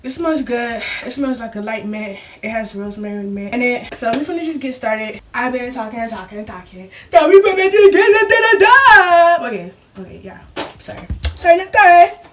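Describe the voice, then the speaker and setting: Young woman rambling thru a vlog at home, sore throat so her voice sits a bit low, kinda far from the mic w faint hiss. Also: lively intonation. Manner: rambling, talks fast with laughs. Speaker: vlogger. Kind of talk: casual chatty vlog.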